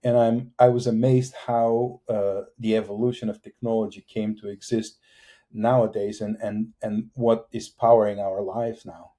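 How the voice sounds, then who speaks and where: Dark-voiced Bulgarian-accented middle-aged man. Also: lowish voice, flat delivery. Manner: halting, many fillers and restarts, laughs often. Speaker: guest engineer. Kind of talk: casual interview chat.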